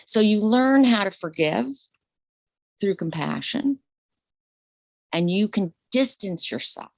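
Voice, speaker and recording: Woman, 60s, retired nurse guest; lively swooping delivery, dry close sound. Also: takes it slowly, gravelly, no echo. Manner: animated, laughing, repeats herself, voice leaps high when excited. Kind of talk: casual interview conversation.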